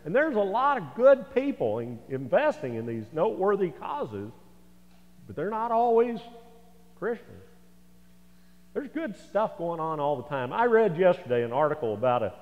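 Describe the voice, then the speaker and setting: A man's voice: a little high, big pitch swings, moderate pace. An older American man in his sixties preaching a sermon in a folksy, conversational way, with asides to the congregation. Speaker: pastor. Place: large church sanctuary, indoors. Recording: echoey church hall, slightly dull sound.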